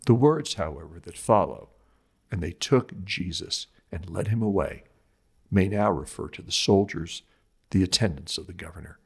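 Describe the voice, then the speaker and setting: A man reading a scripted religious commentary like a lecture, with emphatic, measured phrasing and dramatic stress on scripture quotations. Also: low voice, expressive, gravelly, a little mellow, slightly rough.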